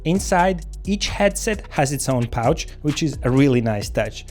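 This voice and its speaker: A man with a medium-pitched voice presents a gear review as a channel host. He speaks casually and explains things step by step, addressing viewers directly. Soft music plays behind his voice. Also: lively intonation, measured pace. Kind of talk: casual scripted product review to camera. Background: background music.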